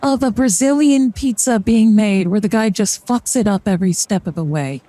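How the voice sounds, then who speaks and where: A woman's voice: mid-range voice, moderate pace, dark tone, no room sound. A young woman streamer chatting casually and joking through a card reading on a live stream. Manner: rambling and joking, with fillers and short laughs. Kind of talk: casual livestream chat during a card reading.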